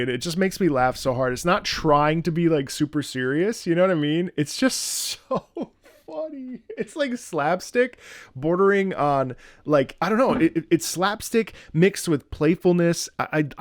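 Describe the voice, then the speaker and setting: A man's voice: a little high, dry recording. Enthusiastic man reacting casually, dry sound. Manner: enthusiastic, informal, repeats words as he gropes for phrasing. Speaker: reaction host, opera singer.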